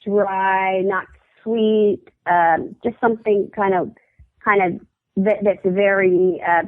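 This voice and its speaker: A woman guest on a comedy interview, chatting casually and halting often with fillers and restarts. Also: mid-range voice, steady tone, no room sound. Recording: dry, phone-like narrow sound.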